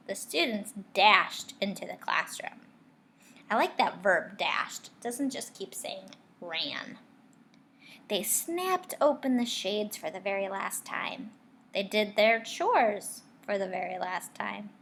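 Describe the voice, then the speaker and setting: Slow-paced woman reading aloud to children. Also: medium pitch, vocal fry. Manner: expressive, questioning, addresses young listeners directly. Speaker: teacher reading a picture book aloud. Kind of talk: read-aloud storytelling with asides to children.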